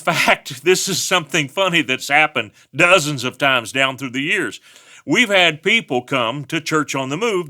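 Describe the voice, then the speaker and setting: Bright-voiced West Texas preacher. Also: male voice, a little high. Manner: folksy storytelling with personal anecdotes. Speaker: pastor. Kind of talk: sermon.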